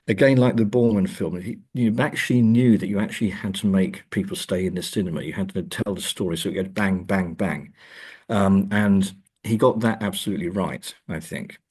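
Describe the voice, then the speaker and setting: A man's voice: low voice, normal speed, a little mellow, husky. A man speaking as an academic guest in an interview, discussing in a conversational, searching way and stressing key words. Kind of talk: conversational literary and film discussion.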